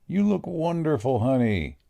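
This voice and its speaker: A man playing a kindly, bemused old grandfather with a gentle, fatherly manner in an old-time radio drama. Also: medium pitch, very animated, unhurried, vocal fry. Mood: warm.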